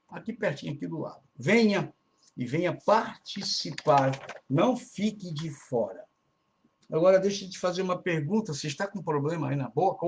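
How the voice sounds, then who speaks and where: Chatty Portuguese-speaking radio church host, higher-pitched man. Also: darkish tone. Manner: chatty, keeps tagging phrases with "né?". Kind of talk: casual radio church announcements. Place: Brazilian church community in Florida.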